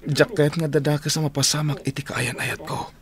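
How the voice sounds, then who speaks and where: An actor playing a desperate, lovelorn prisoner pleading urgently in an Ilocano radio drama, with an Ilocano accent. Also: male voice, a little high, fairly even intonation, a little fast, a crowd in the background, close to an everyday voice.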